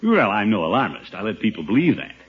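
Casting: pompous middle-aged American uncle, vintage radio comedy. Very animated intonation, theatrical bluster, muffled period sound. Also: male voice, on the low side, quick pace. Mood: boastful. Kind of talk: 1950s radio situation comedy acting. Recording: dull old 1950s radio recording.

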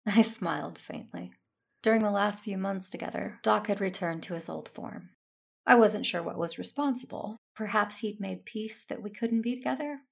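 A woman playing a dutiful, self-stern Jedi in a sci-fi audio drama, narrating in a measured, earnest way. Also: medium pitch, creaky, slightly rough. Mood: fond.

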